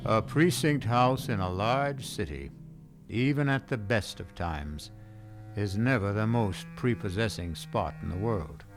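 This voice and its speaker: Low-pitched male radio drama narrator. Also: unhurried, music in the background. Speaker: narrator and host. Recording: old radio broadcast.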